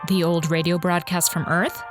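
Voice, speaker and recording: A woman's voice: lowish voice, little pitch movement, normal speed, over music, close to an everyday voice. A woman playing a busy, no-nonsense female spaceship commander in an audio drama, curt and businesslike. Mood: wary, impatient.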